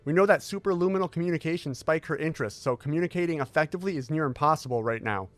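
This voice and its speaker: Man acting a formal, secretive scientist, higher-pitched. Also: dry recording, soft background music, lightly acted. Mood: formal briefing. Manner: formal, measured briefing delivery. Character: tall, secretive military scientist, formal and controlled.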